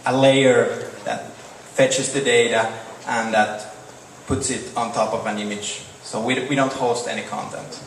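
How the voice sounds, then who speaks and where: A man's voice: medium pitch, steady tone. Young Finnish man, echoey hall. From Finland. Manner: halting, repeats words, short answers. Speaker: startup presenter. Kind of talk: Q&A after a product demo. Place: large dark event hall on stage. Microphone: podium mic. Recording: echoey hall, distant mic, faint noise.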